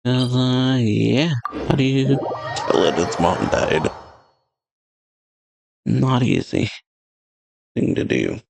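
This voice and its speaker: A man giving casual, low-key let's play commentary in brief, murmured reactions to a game. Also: animated, very slow, vocal fry, long pauses, a little mellow, slight background noise. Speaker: gaming streamer. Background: game music.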